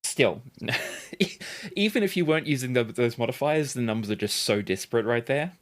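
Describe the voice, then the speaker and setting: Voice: mid-range voice, lively intonation, moderate pace. A game master chatting casually and narrating a tabletop game.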